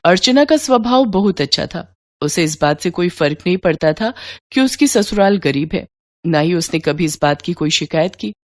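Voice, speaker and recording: A woman's voice: on the low side, animated, normal speed, no room sound. A woman narrating a scripted moral story as the storyteller.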